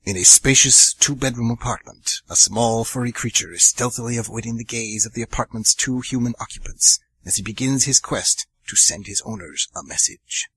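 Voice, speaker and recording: A man's voice: mid-range voice, normal speed, few pauses, sharp tone, husky. A man hosting an amateur radio theatre show, narrating the story earnestly and chatting between segments with casual fillers.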